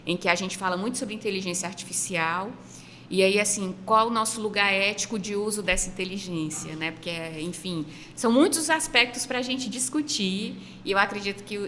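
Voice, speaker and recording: Female academic guest, crisp forward bright tone, earnest formal delivery, faint background ambience. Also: mid-range voice, a little hiss or hum. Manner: earnest, formal vocabulary, emphatic repetition. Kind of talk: panel discussion, reflective answer. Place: makeshift studio at a university campus event.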